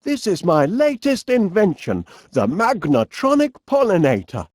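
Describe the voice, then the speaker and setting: A man's voice: high voice, wildly swooping pitch, measured pace, a little mellow, dry recording, clean studio sound, full character voice. A man playing a cheerful middle-aged eccentric inventor in a cartoon video game, with a Northern English accent and a quaintly polite, dithering manner. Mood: proud, chirpy.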